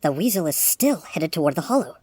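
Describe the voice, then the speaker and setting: A woman voicing a solemn, menacing predator creature, deliberate and ominous, in a dramatic comic dub. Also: low-pitched, sing-song, darkish tone, no echo. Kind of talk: dramatic comic fandub acting.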